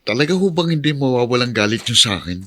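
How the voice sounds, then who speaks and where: A young Filipino farmer in a radio drama. His voice is bright and forward at a middle pitch, and he speaks with earnest respect, firm but unwilling to quarrel. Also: sing-song, fast talker. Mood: pleading, defensive. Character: peaceable young farmer, wrongly blamed, respectful.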